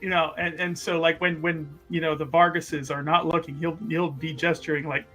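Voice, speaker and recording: A man with a slightly high voice narrates his character's actions as a tabletop player. His delivery is casual, improvised and rambling, with lots of repetition. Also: little pitch movement, normal speed, a bit bright, faint music behind.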